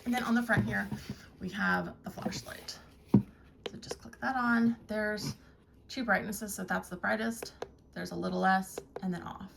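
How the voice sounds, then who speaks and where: Female reviewer, mid-pitched with vocal fry, plain-spoken and explanatory, noisy home-style recording. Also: animated, unhurried. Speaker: product reviewer. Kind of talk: casual product review demo. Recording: clear background noise, handling clicks.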